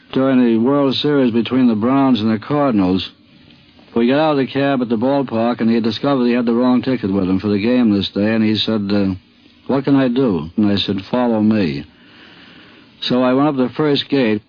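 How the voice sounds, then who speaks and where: A man with an American accent, a sports columnist telling an anecdote from memory. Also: mid-range voice. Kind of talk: anecdotal reminiscence. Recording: muffled vintage radio recording.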